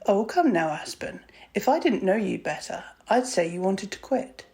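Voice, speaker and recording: A woman acts out a composed woman doctor in an audio drama. Her voice sits at a middle pitch, and her phrasing is proper and measured. She comes across as caring, with a wry, teasing edge. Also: sing-song, a little mellow. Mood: wry, calm.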